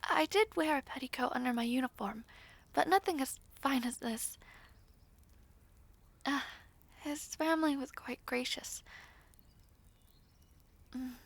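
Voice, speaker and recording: A woman's voice: high voice, a little slow, pauses often. A woman playing a shy, humble young maid of noble birth in a fantasy audio roleplay, hesitant, polite and old-fashioned in speech. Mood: modest, wistful.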